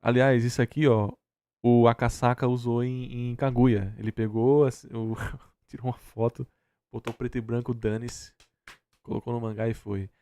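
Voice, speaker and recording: A man's voice: mid-range voice, little pitch movement, moderate pace, mellow, clear, resonant tone, dry recording. A Brazilian man co-hosting a reaction stream, arguing casually in a blunt, mocking, sweary way. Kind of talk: casual argumentative commentary.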